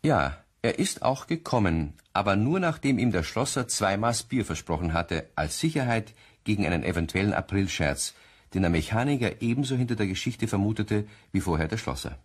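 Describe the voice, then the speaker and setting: A man's voice: fairly low pitch, expressive, fairly quick, slightly rough. A man narrating a scripted German radio play in a wry storytelling manner. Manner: wry storytelling with rhetorical questions.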